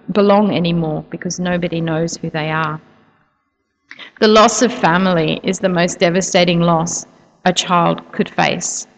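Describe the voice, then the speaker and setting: A woman speaks to a church audience, earnest and soft-spoken, with the occasional um. Her voice sits a little low for a woman. It carries the long reverb of a big hall. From New Zealand. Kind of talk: church talk telling a personal story.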